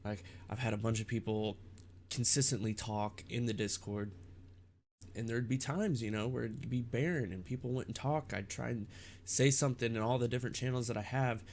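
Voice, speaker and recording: A young man with a congested, stuffed-up nose, rambling in a halting casual vlog about his streaming. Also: medium pitch, fairly even intonation, darkish tone, a little hiss or hum. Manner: rambling, halting with many restarts and fillers. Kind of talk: casual vlog talk to camera.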